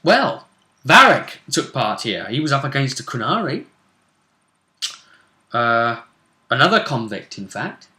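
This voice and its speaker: A man's voice: medium pitch, sing-song, unhurried, long pauses, pushed and bright, roomy sound. A man chatting casually as he hosts a recap of a tabletop role-play game.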